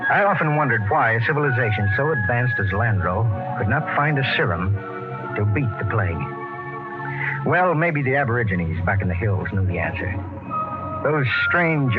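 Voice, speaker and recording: An American actor playing a grim, stern expedition captain in a 1950s radio drama, solemn, clipped and dramatic. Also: male voice, on the low side, close to an everyday voice. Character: grim, stern expedition captain telling his tragic story. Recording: muffled old radio broadcast with music.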